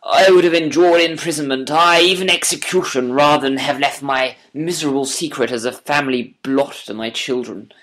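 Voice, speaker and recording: A man's voice: mid-range voice, normal speed, few pauses, a bit bright, natural voice. A man voicing an anguished, educated prisoner in an audiobook, proud and ashamed, impassioned and formal in manner. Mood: passionate, confessing. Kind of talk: audiobook character voice.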